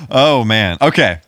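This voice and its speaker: A man's voice: fairly high pitch, fairly bright tone, no room sound. A man co-hosting a podcast, chatting casually about video games. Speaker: podcast co-host.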